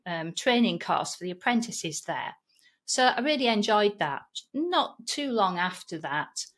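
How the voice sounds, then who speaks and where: A woman's voice: medium pitch, fairly bright tone. Chatty Yorkshire woman in her fifties. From England. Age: 50s. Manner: chatty and self-deprecating, frequent restarts and laughs. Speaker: guest. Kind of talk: conversational interview storytelling.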